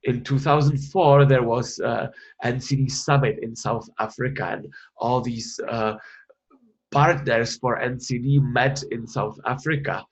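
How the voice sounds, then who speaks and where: A man's voice: medium pitch, fairly even intonation, unhurried, dull audio. A man from the Republic, presenting research in an online webinar, hesitant with many fillers and restarts. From Czech Republic.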